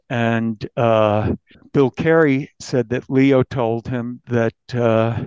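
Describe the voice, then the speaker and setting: Male American reporter, interview recollection, stop-start phrasing, medium pitch, dull close recording. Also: darkish tone, clean tone, dry recording. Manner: halting, repeats and restarts phrases. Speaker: newspaper reporter being interviewed. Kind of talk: recounting a case in an interview.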